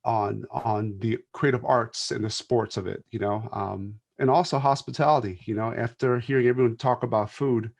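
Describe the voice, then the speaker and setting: A man's voice: mid-range voice, fairly even intonation, normal speed, creaky, few pauses. A Filipino American man sharing casually as a panel guest, easygoing and chuckling, with many fillers. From United States, Filipino American. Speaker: church worship team member, panel guest.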